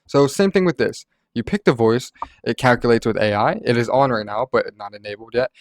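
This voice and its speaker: A man's voice: medium pitch, brisk, dry recording, studio quality. A man narrating a casual gaming tutorial in an informal, rambling way, like a content creator talking to followers.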